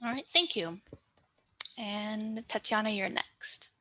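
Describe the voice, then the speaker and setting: A woman moderating a video panel, calling on speakers with quiet, apologetic asides. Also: mid-range voice, slow pace, no echo.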